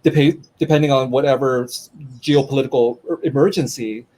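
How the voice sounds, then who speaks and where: A man's voice: medium pitch, fairly quick, darkish tone, low-quality recording. A man moderating a livestreamed author conversation by video call, talking thoughtfully with frequent restarts and repeated words.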